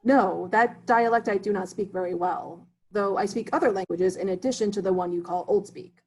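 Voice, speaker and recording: A man's voice: high-pitched, normal speed, a little mellow, husky, low-quality recording. A man reading the part of an erudite immigrant substitute teacher who speaks formally and drops his articles, in an amateur play reading. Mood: earnest.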